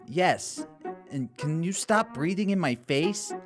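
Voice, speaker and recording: A woman plays a grumbling, uneasy young man in an audio drama. The voice is low for a woman and drags slowly, with loud music underneath. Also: expressive. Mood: wary, irritated. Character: irritable, uneasy young male suspect.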